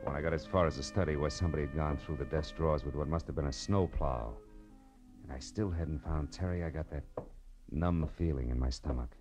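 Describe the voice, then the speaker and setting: A man's voice: a bass voice, brisk, over music. An American man playing a hardboiled, wry private detective in an old radio noir, terse and world-weary. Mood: wry, grim. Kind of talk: 1940s-50s radio noir acting and narration.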